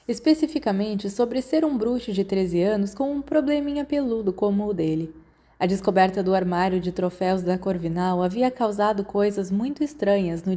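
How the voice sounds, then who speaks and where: Mellow Brazilian woman reading a story. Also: mid-range voice, normal speed. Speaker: audiobook reader. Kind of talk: scripted storytelling narration.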